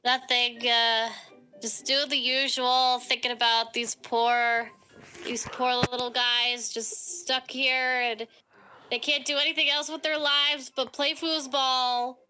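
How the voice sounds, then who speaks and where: A woman voicing a naive, soft-hearted animatronic friend in a game roleplay, hesitant and reassuring. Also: high voice, little pitch movement, takes it slowly, smooth, faint music behind, full character voice. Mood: musing. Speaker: player in a Minecraft roleplay video. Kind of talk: improvised roleplay acting.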